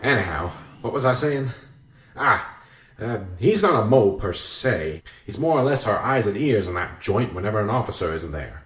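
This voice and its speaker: An actor playing an older small-town police sergeant in a radio drama, plain-spoken, weary and defensive. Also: male voice, on the low side, big pitch swings, normal speed, a bit bright. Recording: roomy echo with music behind. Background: music.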